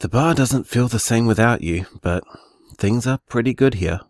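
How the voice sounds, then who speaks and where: An actor playing an easygoing, affectionate man who runs a bar, talking conversationally in an audio drama, warm and teasing. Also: medium pitch, normal speed, no room sound, clean studio sound, close to an everyday voice. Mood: fond, teasing. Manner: warm, teasing, rambling reassurance. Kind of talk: audio drama voicemail acting, naturalistic and conversational.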